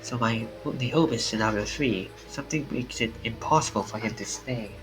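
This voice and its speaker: A man with a fairly deep voice reads a visual novel aloud for a stream. His pitch is average for a man. Game music plays clearly behind him. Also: a little fast, rough recording.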